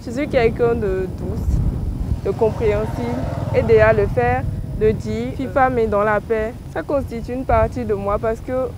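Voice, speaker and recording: Woman, gentle and earnest, vox pop over street noise. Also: a little high, fairly even intonation, a little slow. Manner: gentle, earnest, with small hesitations. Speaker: street interviewee. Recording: street interview with background noise.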